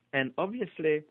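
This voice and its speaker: A man's voice: fairly high pitch, creaky, breathy edge, no echo. An older man with a Polish accent, a doctor being interviewed, telling his story with many hesitations and restarts. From Poland. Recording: tinny phone-line audio, dry.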